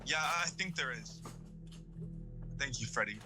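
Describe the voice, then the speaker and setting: A man's voice: mid-range voice, expressive, unhurried, close to an everyday voice. A man playing a devout, anxious young man with a big appetite in an audio drama. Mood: subdued. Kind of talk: audio drama table read.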